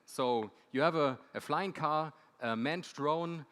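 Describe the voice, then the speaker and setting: A man's voice: on the high side, slow, fairly bright tone. A man moderating a conference panel, introducing the topic and speakers from the stage. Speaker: panel moderator, university lecturer. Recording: echoey hall.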